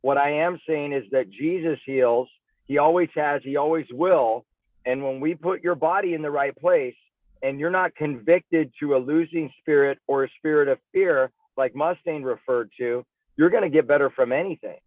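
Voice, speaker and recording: A man's voice: on the high side, normal speed, dry recording. A man speaking as an interview guest, persuasive and emphatic, firing off punchy rhetorical questions over tinny, phone-like sound. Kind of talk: persuasive pitch in casual interview.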